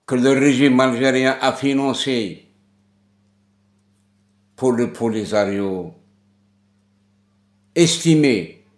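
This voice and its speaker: A man from Kabylie, delivering an impassioned political monologue and repeating words for stress. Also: mid-range voice. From Kabylie, Algeria. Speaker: political commentator. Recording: echoey room.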